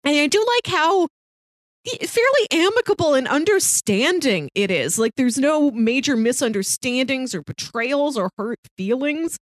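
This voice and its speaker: A woman with a fairly high voice talks casually as a movie podcast co-host. Her pitch moves around in a lively, animated way, and the sound is close and dry. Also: moderate pace, no room sound. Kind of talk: casual film discussion.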